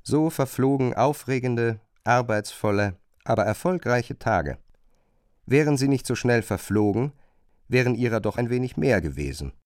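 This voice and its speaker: A man's voice: mid-range voice, expressive, moderate pace, a little mellow, no room sound, clean studio sound. A man narrating a radio drama in a scripted, literary style.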